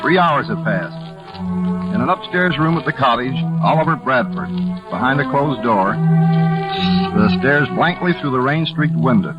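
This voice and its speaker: A male narrator reads a scripted scene-setting in vintage radio style. His pitch moves widely, with loud music behind him and a muffled old recording. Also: sing-song, unhurried. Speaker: drama narrator.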